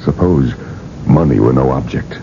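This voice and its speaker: An actor playing a domineering older industrialist obsessed with living forever, imperious and emphatic, in a radio drama. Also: male voice, a bass voice, moderate pace, vocal fry, mellow. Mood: determined. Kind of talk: 1980s radio drama acting and first-person narration. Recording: muffled, noisy vintage radio broadcast.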